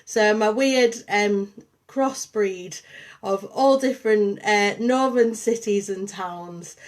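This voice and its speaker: A woman with a Liverpool accent touched by Yorkshire, hosting a casual livestream and chatting warmly to viewers with lots of ums. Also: mid-range voice, slow. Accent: Liverpool and St Helens with a touch of Yorkshire. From Liverpool, England. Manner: chatty, warm greetings to viewers, many ums. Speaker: artist and programme coordinator hosting a livestream.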